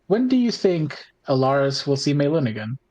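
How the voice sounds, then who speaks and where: A man's voice: fairly high pitch, very animated, brisk, a little mellow. A man chatting informally as a podcast co-host, thinking aloud as he goes. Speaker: podcast host and tabletop player. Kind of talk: casual chat.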